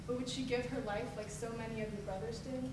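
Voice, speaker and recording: A woman's voice: fairly high pitch, steady tone, fast. A young woman performing slam poetry live, emphatic and declamatory with a rhythmic delivery. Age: teens to young adult. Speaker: slam poet. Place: echoey venue at a high school showcase. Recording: echoey distant live recording, background noise.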